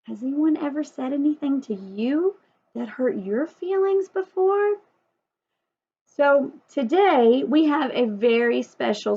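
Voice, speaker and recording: A woman's voice: sits high, darkish tone, husky. A woman teacher leading a story time lesson for young children, asking lots of questions and emphasising key words. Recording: dull lo-fi sound.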